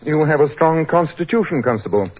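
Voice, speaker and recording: An actor playing an incisive, dryly superior gentleman detective in a 1940s radio drama, a man with an upper-class English accent and clipped, precise speech. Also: on the high side, lively intonation, fairly quick. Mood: cool, inquiring. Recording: muffled, noisy 1940s radio recording.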